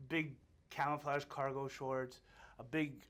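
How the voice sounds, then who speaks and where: A man guesting on an interview, telling a story in a relaxed way and acting out the people in it. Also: medium pitch, slow pace. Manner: animated, acts out the other people in his stories; relaxed and laid-back, laughs often. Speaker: guest, film actor.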